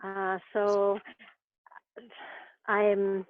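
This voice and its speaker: A woman's voice: mid-range voice, monotone, extremely slow, dry recording, narrow, tinny audio. A woman from France with a French accent, speaking reflectively with many fillers in a webinar. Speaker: program co-founder presenting. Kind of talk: webinar talk. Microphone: video call.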